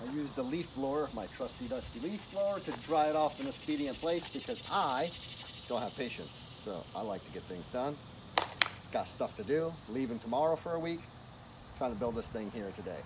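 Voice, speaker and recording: A man's voice: medium pitch, expressive, fairly quick, heavy background noise. A middle-aged man casually explaining a home project step by step in his garage and yard, filmed on a handheld camera. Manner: chatty, plainspoken, explains step by step. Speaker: DIY vlogger. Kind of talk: casual how-to vlog. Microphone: handheld camera close up.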